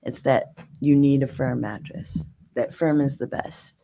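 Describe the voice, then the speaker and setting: A woman's voice: very deep voice, measured pace, gravelly, mellow, low-quality recording. A woman guest from a mattress store, chatting casually and laughing often. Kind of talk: casual chat.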